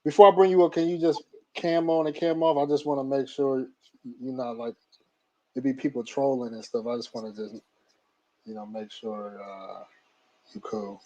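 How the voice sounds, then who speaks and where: A man hosting a live stream, bringing callers on with brisk, relaxed slang. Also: medium pitch, muffled recording.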